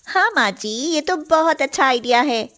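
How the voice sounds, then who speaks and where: A woman playing an eager, respectful young daughter-in-law from a village in an animated moral story, speaking politely. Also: high voice, normal speed.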